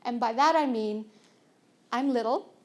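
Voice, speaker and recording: A young adult woman, soft-spoken and earnest, telling a personal story on stage at a conference, with fillers and restarts. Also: on the high side, unhurried. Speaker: conference speaker.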